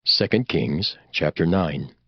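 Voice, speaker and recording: Deep, expressive male scripture narrator. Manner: measured, formal, storytelling. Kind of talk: solemn dramatized scripture narration. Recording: dull recording under clear music. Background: music.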